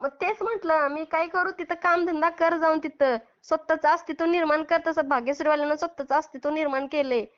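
A woman's voice: high voice, fairly even intonation, a little fast, hardly any pauses. A Maharashtrian woman arguing heatedly on a phone call, scolding and taunting in a confrontational way. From Maharashtra, India. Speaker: prank caller who posts call recordings. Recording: dull lo-fi phone call recording.

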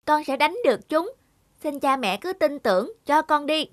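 A woman voicing a brave, determined young boy hero in an animated folk tale, speaking in firm, earnest declarations. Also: sits high, normal speed. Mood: earnest, resolute.

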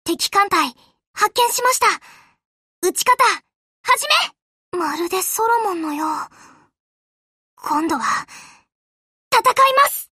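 An actress voicing a gentle, courteous young warship girl who serves her admiral in an anime game, with a deferential, polite manner. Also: extremely high pitch, lively intonation, slow pace, pauses often, no room sound, studio quality, exaggerated voice. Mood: resolute, commanding. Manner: soft-spoken, courteous, deferential. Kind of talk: Japanese anime game voice acting.

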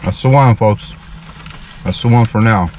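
A man's voice: on the low side, darkish tone, slight background noise. A man in his thirties, a street preacher, talking earnestly and a bit formally to a camera from his parked car. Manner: slow, earnest, pious, slightly formal. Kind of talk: casual vlog with preaching asides. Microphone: dash camera in front of him.

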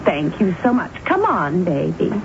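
A woman's voice: lowish voice, huge pitch swings, measured pace, vocal fry, exaggerated voice. An actress playing a vain, pushy, flirtatious married woman with a breezy, theatrical manner in a radio drama. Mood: breezy, self-pleased. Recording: dull, noisy 1970s radio recording.

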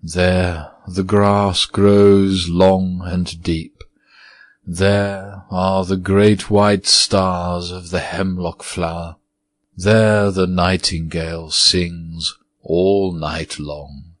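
A man reading aloud, voicing an old withered male ghost who speaks in a low, dreamy, mournful way. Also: level tone, extremely slow, dry recording.

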